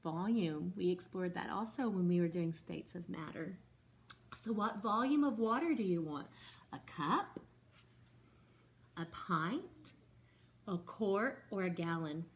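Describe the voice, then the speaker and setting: A woman's voice: fairly low pitch, lively intonation, measured pace, long pauses. A woman teacher reading a picture book aloud to her second-grade class and stopping to explain it patiently.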